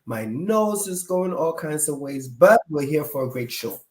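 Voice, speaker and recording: A man's voice: fairly high pitch, lively intonation, measured pace. A male talk show host with a nasal, congested voice, opening the show in an upbeat, repetitive way. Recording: roomy echo.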